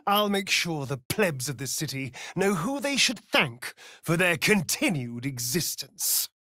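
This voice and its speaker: An actor playing a vain, sardonic vampire rogue in a video game, with an arch, drawling, theatrical delivery. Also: male voice, a little high, very animated, a little slow, bright, forceful tone, no echo, studio quality, heavily acted. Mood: sly. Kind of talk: video game character acting.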